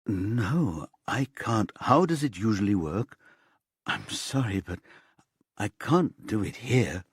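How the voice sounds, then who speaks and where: Male narrator as distressed woman, thriller audiobook, worn out, reluctant, pleading, hesitant, husky. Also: on the low side, animated, slow pace, dry recording, studio quality, exaggerated voice. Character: distressed, exhausted woman reluctant to summon a ghost.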